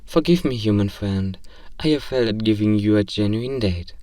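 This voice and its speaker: A man's voice: fairly low pitch, moderate pace, warm tone, no room sound, far from the mic, lightly acted. A man voicing an earnest, curious robot learning about human love in a comedic role-play, speaking in formal, literal, stiltedly polite phrases. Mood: puzzled, apologetic. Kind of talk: ASMR role-play comedy audio drama.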